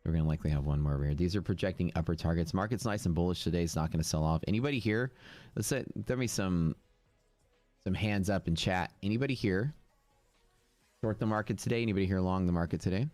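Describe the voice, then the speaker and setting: A man's voice: low voice, quick pace, darkish tone, no room sound, soft background music. A man from Texas with a Texan accent, chatting casually while commenting on trades during a live stream. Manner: rambling, chatty, drawling. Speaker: day trading streamer.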